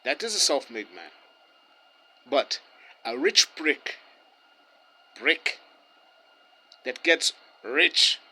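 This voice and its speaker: A man's voice: mid-range voice, sharp tone. A man whose first languages are Portuguese and German, speaking English in a heated, ranting way with many restarts. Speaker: interviewee. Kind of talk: impassioned street opinion.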